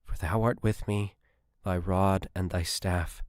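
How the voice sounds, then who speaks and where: An earnest woman scientist attached to an army unit, acted out by a male narrator, pitched low. She has a faithful streak and an excitable edge, in a dry, close audiobook recording. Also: slow, no room sound. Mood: solemn, quiet.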